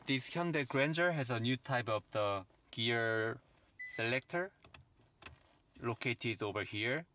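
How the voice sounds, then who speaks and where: A man with a Korean accent, whose first language is Korean, gives a car review walkthrough in plain, halting phrases. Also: medium pitch, lively intonation, pushed and bright, a little hiss or hum. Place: in and around a car.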